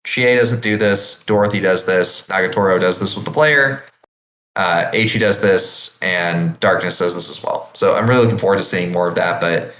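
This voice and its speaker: Deep-voiced male podcast host, roomy sound. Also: a bit bright. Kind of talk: casual podcast discussion.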